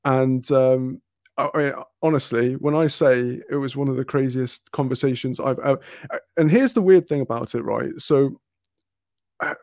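A man's voice: mid-range voice, moderate pace, darkish tone, no room sound, clean studio sound. A man from Wales, telling rambling anecdotes in a casual chat, often restarting and laughing.

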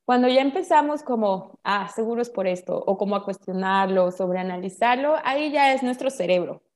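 A woman with a Mexican accent explains ideas in a casual, expressive, conversational way full of colloquial slang. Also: medium pitch, brisk. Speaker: guest explaining spiritual ideas.

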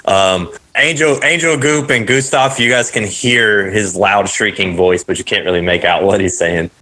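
Dm guy narrating a tabletop game, lowish voice, lots of ums. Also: normal speed, pushed and bright. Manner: hesitant, many fillers. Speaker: dungeon master.

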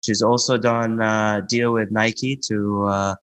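A man hosting a conversational podcast interview, speaking in a somewhat halting, hesitant way. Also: lowish voice, little pitch movement, fairly bright tone, no room sound. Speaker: podcast host.

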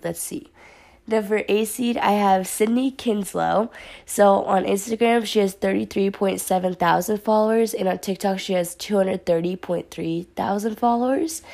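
Young woman, podcast host, casual and upbeat, hoarse from a sore throat, gravelly fry. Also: mid-range voice, darkish tone. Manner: chatty, upbeat, filler-heavy. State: sore throat, slightly raspy voice. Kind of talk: casual solo podcast talk.

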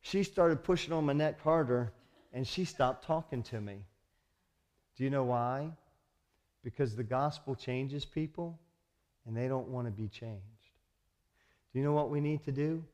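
A man's voice: mid-range voice, darkish tone. A man preaching a sermon as a pastor, emphatic, often putting rhetorical questions to his congregation.

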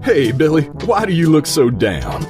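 An actor playing a hammy, folksy dad in a parody retro radio advertisement. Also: male voice, a little high, wildly swooping pitch, moderate pace, music in the background. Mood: hokey nostalgia. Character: corny, wholesome-sounding dad with pulp nostalgia.

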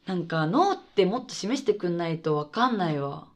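A woman playing a mischievous radio co-host in a scripted comedy, casual and deadpan. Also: on the low side, brisk. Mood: playful. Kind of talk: scripted comedic late-night radio chat.

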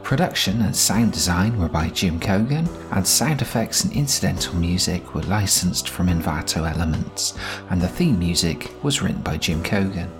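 A man's voice: sits low, normal speed. Man announcing credits over music. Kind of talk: scripted credits narration.

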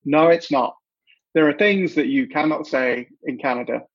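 Casting: British man as interview host, husky voice, halting and friendly, dull lo-fi call sound. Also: fairly high pitch. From United Kingdom. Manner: halting, restarts often, friendly. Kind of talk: casual interview questions.

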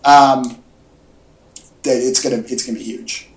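A man's voice: mid-range voice, steady tone, unhurried. Man chatting casually in an echoey room. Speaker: podcast co-host. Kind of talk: casual chat about comics and movies. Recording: echoey, roomy podcast audio.

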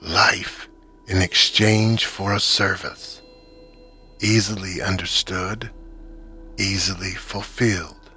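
A man voicing a monstrous insect creature with a human face, speaking in a deep, buzzy hiss, slow and menacing. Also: fairly even intonation, bright, forceful tone, soft background music, exaggerated voice. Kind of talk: horror story narration.

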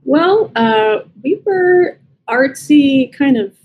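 A woman's voice: high-pitched, lively intonation, extremely slow, dull audio, slight background noise. A woman in her thirties with an American accent, talking casually and reflectively as an interview guest. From United States and Brazil. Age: 30s. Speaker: podcast guest, writer and editor.

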